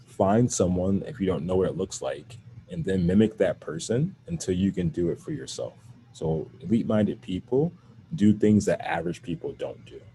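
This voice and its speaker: A man's voice: sits low, animated, normal speed, mellow, a little hiss or hum, poor audio. A man who speaks with a stutter, giving a workshop talk to educators as a mental performance coach over a video call.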